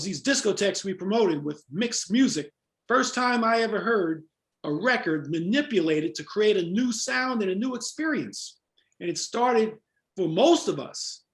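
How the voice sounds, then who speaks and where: An older man with an American accent reminisces in conversational interview style. His voice sits high and has a gravelly fry to it. The recording is roomy and echoing. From Jamaica-born, raised in New York. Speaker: interview guest, former club promoter.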